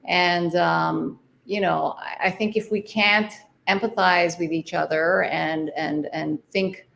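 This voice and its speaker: A middle-aged woman from Hawaii talks in a casual interview about her music and life. Her voice sits low with a gravelly fry, and she searches for words with frequent fillers and restarts. She laughs easily between answers. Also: steady tone, takes it slowly, fairly bright tone. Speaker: jazz artist guest.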